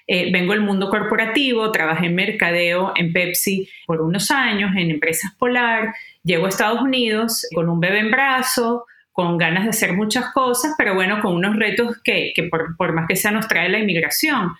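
A woman from Venezuela, a guest entrepreneur talking in a warm, upbeat, conversational way. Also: medium pitch, normal speed, hardly any pauses, echoey room. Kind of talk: interview conversation.

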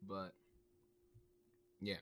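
A man's voice: extremely slow, a bit bright. A young man hosting a casual podcast, hesitant and filling his talk with "um" and "like". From United States. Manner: hesitant, many "um" and "like" fillers. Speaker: podcast host. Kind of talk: casual chat.

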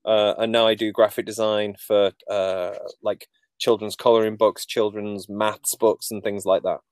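A man's voice: on the low side, level tone, unhurried, a little mellow, no echo. A man in his forties with a Manchester accent, a podcast guest telling stories in a jokey, self-deprecating way. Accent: Manchester English. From England. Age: 40s. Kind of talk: casual interview chat.

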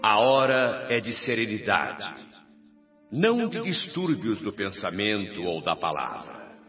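Slow, solemn, venerable governor. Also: male voice, on the low side, full character voice. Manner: solemn, declamatory, emphatic. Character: venerable male spirit-colony governor addressing his people, serene, authoritative. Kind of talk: radio drama acting, public address. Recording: dull radio-drama recording with music.

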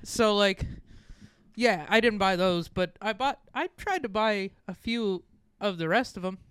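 A man's voice: high-pitched, expressive, a little slow, fairly bright tone, clear, resonant tone, no room sound. A young man co-hosting a podcast in a small studio, joking with dry, teasing sarcasm. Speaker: podcast co-host, comedian. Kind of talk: casual podcast chat. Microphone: studio microphone.

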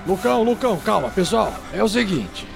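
A high-pitched, gravelly old male vampire hunter, acted by a man in a tabletop game. He is wary and commanding, giving emphatic warnings in halting phrases. Music plays clearly behind him. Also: sing-song, heavily acted.

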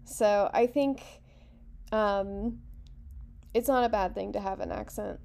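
Laid back woman cohost chatting slow, warm mellow tone, a few likes and ums. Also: medium pitch, creaky. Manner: relaxed, explains steadily with some fillers. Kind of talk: casual chat.

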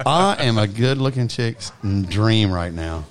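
A man's voice: lowish voice, lively intonation, measured pace, crowd noise. A man in his forties, co-hosting a radio show with self-mocking, joking banter. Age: 40s. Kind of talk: casual banter.

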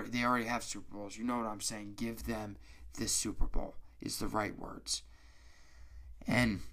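A man hosting a casual solo sports podcast, stuffy-nosed and hoarse from a cold, rambling and restarting his sentences. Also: mid-range voice. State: congested, stuffy nose; hoarse, raspy throat.

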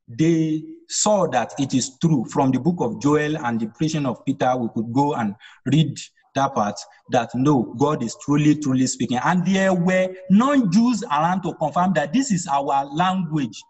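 A man's voice: on the high side, darkish tone, slightly rough, long reverb tail, muffled recording. A man whose first language is Yoruba teaches an online Bible study, explaining verse by verse and asking rhetorical questions.

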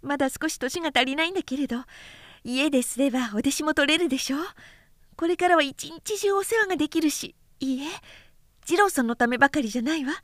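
A woman's voice: high voice, quick pace, a bit bright, no room sound, clean studio sound. A woman voicing a devoted, spirited young woman of old Edo in an audiobook, with girlish feminine speech. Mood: happy. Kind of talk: audiobook character reading.

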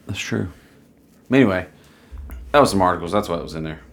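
A man's voice: low voice, animated, fast. A man co-hosting a podcast in casual chat, blunt and joking, often cutting in on his co-host. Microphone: studio mic close up.

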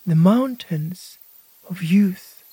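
A woman narrating a guided imagery meditation as host, speaking gently in short, hushed phrases with pauses. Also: fairly low pitch, animated, husky, dry recording.

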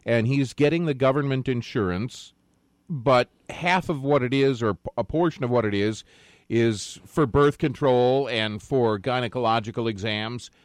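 A man's voice: medium pitch, normal speed, dry recording, clean studio sound. A man co-hosting talk radio, explaining a point plainly. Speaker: radio co-host.

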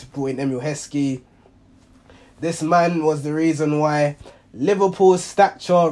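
A young man with a hoarse voice, hosting a football reaction show in a rambling, casual monologue full of ums and self-corrections. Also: on the high side, a little mellow. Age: young adult. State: hoarse, under the weather. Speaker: football reaction channel host.

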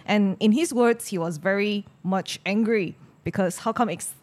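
A woman's voice: medium pitch, normal speed, a little mellow. A woman with a Malaysian accent speaking as a panelist, explaining background in a conversational way.

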